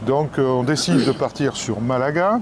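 A man's voice: medium pitch, moderate pace. Rambling man vlogging over street noise. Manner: rambling, many restarts and fillers. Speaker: travel vlogger. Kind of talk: casual travel vlog chat. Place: outdoors and aboard a ferry. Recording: noisy outdoor recording.

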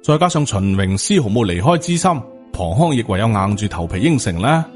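A man's voice: on the low side, very animated, fairly quick, darkish tone. Male Hong Kong Cantonese storyteller over music. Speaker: audiobook narrator. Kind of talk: scripted narration of supernatural horror fiction.

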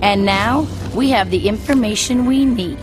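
A woman voicing a little alien girl in a video game, sweet and stilted in a deliberate, knowing way. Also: mid-range voice, over music, natural voice. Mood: smug, sinister. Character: sugary little alien girl hiding smug menace.

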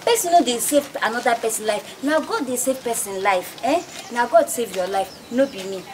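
A woman's voice: fairly high pitch, animated, moderate pace, noisy background, natural voice. A woman with a Nigerian accent playing a modest, devout young village woman in a film drama. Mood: modest, earnest. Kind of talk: Nigerian film acting in Pidgin dialogue.

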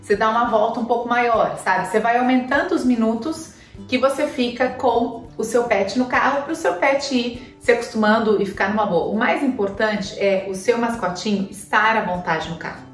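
A woman's voice: fairly high pitch, moderate pace, far from the mic. A woman in her thirties from Brazil, chatting to the camera with advice and many fillers, seated on a sofa in a living room. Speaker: pet vlogger. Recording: roomy, from off-mic, soft music.

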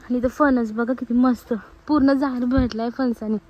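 A woman's voice: fairly high pitch, fairly even intonation, moderate pace, dull audio. A young woman from Maharashtra, India, vlogging casually on a phone outdoors, chatty and mixing in English words. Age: young adult. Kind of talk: casual vlog narration to camera, chatting with friends. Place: outdoors on hillside trails and farm grounds. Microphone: phone at arm's length. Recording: noisy outdoor phone recording.

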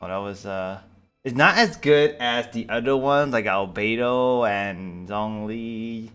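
A man's voice: on the low side, slow pace, a bit bright, clean tone. A young man giving casual, hesitant live commentary as a streamer reacting to a video. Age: young adult. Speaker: streamer reacting to a game trailer. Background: game trailer music.

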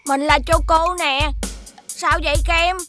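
A small, loyal magical buddy in a kids' animated cartoon. The voice is high-pitched and childlike, earnest in delivery, over clear background music. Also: female voice, slow pace, exaggerated voice. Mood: helpful. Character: small loyal magical friend, helpful but timid.

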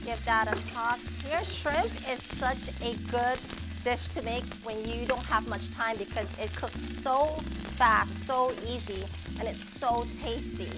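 A woman's voice: fairly high pitch, measured pace, very noisy. A woman in her thirties hosting a cooking demonstration in a kitchen, talking in an upbeat, chatty, encouraging way. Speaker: cooking show host and restaurant owner. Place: home kitchen, indoors. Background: background music and sizzling pan.

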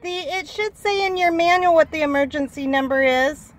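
A middle-aged woman vlogging in casual chat from inside a truck, playful and quick to laugh. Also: high voice. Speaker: vlog host. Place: inside a parked pickup truck.

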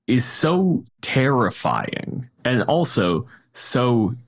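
A man's voice: mid-range voice, big pitch swings, very slow. A man chatting casually as a podcast host, explaining things in a conversational way. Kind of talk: casual tabletop game discussion.

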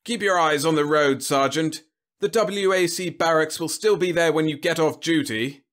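A man's voice: fairly high pitch, moderate pace, fairly bright tone, voice pushed up, natural voice. A man voicing a proud, smug army general in a story, jovial and snappish, with a pompous manner. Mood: snappish, commanding.

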